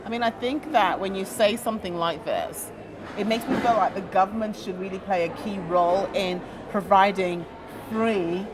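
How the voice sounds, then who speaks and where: A woman's voice: medium pitch, moderate pace. A woman interviewer who is chatty and opinionated, leading her guest with her own comments and questions. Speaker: interviewer, founder and CEO. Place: trade fair. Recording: busy crowd noise behind.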